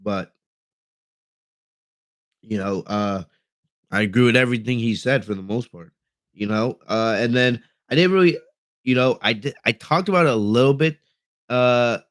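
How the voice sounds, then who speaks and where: A man's voice: medium pitch, lively intonation, moderate pace, long pauses, no echo. A young man from the United States hosting a wrestling podcast from his bedroom, talking in a casual, rambling way with lots of fillers and restarts while he reads the live chat. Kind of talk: casual livestream commentary. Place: bedroom at home.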